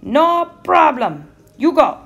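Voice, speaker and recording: A woman's voice: sits high, very animated, slow, a bit bright, voice pushed up, exaggerated voice. A woman voicing a mean, cranky old male troll in a storybook, roaring and bullying in island-flavoured speech. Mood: menacing, booming. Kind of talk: storybook character voice.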